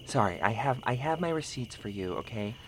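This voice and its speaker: Actor as needy, fussing woman. Pushy, repetitive, oblivious, lively intonation, improv radio drama. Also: medium pitch, brisk, noisy background, natural voice. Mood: apologetically pushy. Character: needy, clingy, oblivious woman named Cheryl, fussing persistently.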